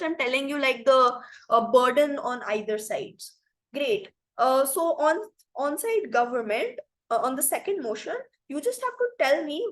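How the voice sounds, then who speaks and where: High-voiced Indian woman teaching debate. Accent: Indian English. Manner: explanatory, many fillers like "like" and "you know". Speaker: debate trainer. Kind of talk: online debate training session. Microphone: video call.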